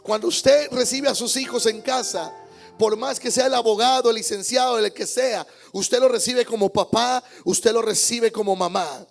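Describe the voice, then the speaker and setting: A man's voice: extremely high pitch, normal speed, vocal fry, faint music behind. A male pastor preaching a Sunday sermon in church, emphatic, repeating key words and calling out amens. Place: church service.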